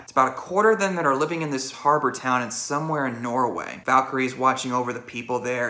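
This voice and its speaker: A man giving an opinionated, expressive movie review in a roomy, echoey space. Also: medium pitch. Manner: expressive, acts out quotes and reactions. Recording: roomy, echoey indoor sound.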